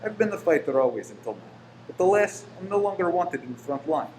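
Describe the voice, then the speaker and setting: Man acting a smooth-mannered bird-shop professor, polite plotter, higher-pitched male voice, radio play, roomy echo. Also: very animated, fairly quick, a little mellow. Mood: quietly conspiratorial. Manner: polite, reassuring, unctuous. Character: courteous pet-shop professor, secretly a bomb-making conspirator. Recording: roomy echo with faint noise.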